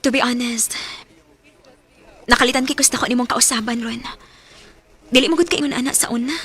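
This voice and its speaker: Young Filipina, Cebuano accent, hurt girlfriend in radio drama; high, emotional, plaintive. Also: steady tone, fairly quick, fairly bright tone, husky. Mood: soft, relieved. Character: lovesick, hurt young woman clinging to a neglectful boyfriend.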